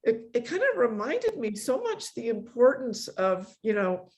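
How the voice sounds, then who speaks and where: A woman's voice: fairly high pitch, very animated, a little mellow, slight background noise. Effusive middle-aged woman hosting, casual and emphatic. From born in Poland, grew up in a Polish immigrant family. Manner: effusive, emphatic repetition, quick to laugh. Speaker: host of an online meetup. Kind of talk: casual chat, thanking a guest.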